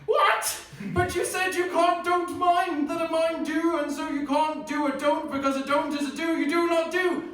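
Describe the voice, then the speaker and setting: A man's voice: very high voice, steady tone, moderate pace, a bit bright. A man performing a live spoken-word comedy set, rattling through chaotic, rambling wordplay and acting out both sides of an argument. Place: live event venue on stage. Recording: very echoey room, mic at a distance.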